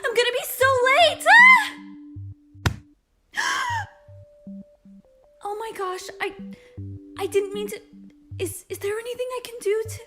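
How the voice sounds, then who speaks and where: Woman as a very squeaky, flustered teenage schoolgirl. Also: animated, a little slow, pauses often, music in the background. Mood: flustered, apologetic. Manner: flustered, apologetic. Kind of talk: fan audio drama acting.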